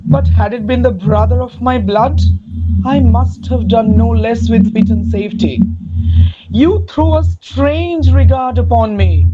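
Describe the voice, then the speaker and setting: A performer playing a tender, wondering young gentleman, a long-lost twin brother, in a dramatic Shakespeare reading. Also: mid-range voice, measured pace, loud music behind the voice, natural voice. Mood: apologetic. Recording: dull lo-fi audio.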